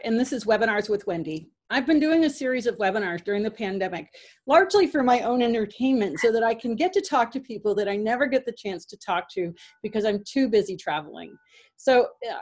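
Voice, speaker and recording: A woman's voice: mid-range voice, a little mellow. An American woman from Connecticut hosting a webinar, talking in a chatty, enthusiastic, casual way. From Connecticut, United States. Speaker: webinar host, riding clinician. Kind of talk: casual introduction and interview chat.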